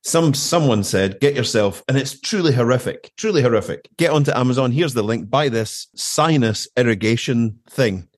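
A man's voice: medium pitch, expressive, normal speed, hardly any pauses, no room sound, clean studio sound. A middle-aged British man chatting casually as an interview guest, telling stories with emphatic repetition and colloquial asides. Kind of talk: casual conversation, anecdotal.

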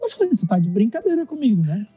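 A Brazilian dentist from Recife, a man, telling an animated story in an interview, acting out dialogue and laughing often. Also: high voice, very fast, slightly rough, dry recording, muffled recording, soft background music.

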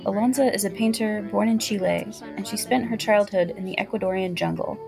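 A woman host welcoming listeners and introducing a guest in a brisk, scripted way. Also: mid-range voice, steady tone, vocal fry. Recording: voice over guitar music.

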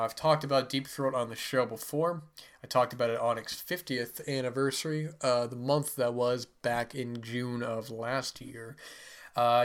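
A man's voice: medium pitch, a bit bright. A man who is sick and stuffy, hosting a podcast and casually reviewing a book in a rambling way with many fillers. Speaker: podcast host.

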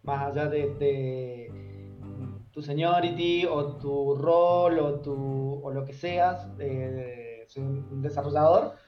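A man with an Argentine accent telling anecdotes casually as an interview guest, pausing and repeating words as he thinks. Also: on the high side. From Córdoba, Argentina. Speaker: guest, software developer. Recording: dull, echoey sound with music behind. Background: guitar music.

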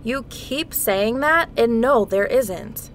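A woman's voice: a little high, expressive, heavy background noise. A woman playing an irritable, skeptical adventurer heroine in a comedic video game parody, exasperated and sarcastic. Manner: exasperated, drawn-out sarcastic complaining.

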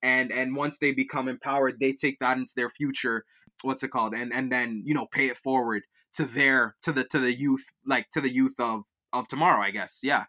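A man's voice: mid-range voice, fairly even intonation, a little fast, sharp tone. A young man from Liberia, raised in Kenya and Canada, answering interview questions in a rambling way, with many fillers and restarts. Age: young adult. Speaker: student guest.

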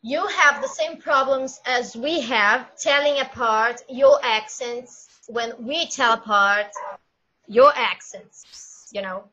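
A woman's voice: a little high, slow, bright, forceful tone, muffled recording. A woman with a Brazilian Portuguese accent, speaking English in a casual discussion and thinking aloud as she searches for words.

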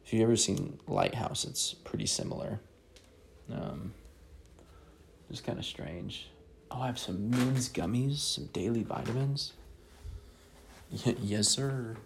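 A man's voice: mid-range voice, lively intonation, measured pace, vocal fry, pauses often, a little hiss or hum. A man chatting casually and hesitantly in a self-deprecating way, sometimes reading aloud. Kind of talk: casual rambling chat, with some reading aloud from a book.

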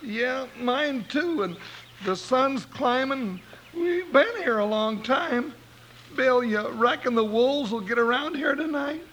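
A man's voice: slow, full character voice, rough recording. A man playing a folksy, blustering, loyal cowboy sidekick with an American accent in an old Western radio drama. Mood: concerned. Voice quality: high-pitched, bright. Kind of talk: 1950s Western radio acting. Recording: old radio recording with faint noise.

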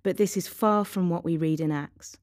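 A woman reading a scripted devotional in a measured, reflective way. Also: on the low side, a little mellow, no room sound, clean studio sound. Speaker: devotional reader. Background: faint music.

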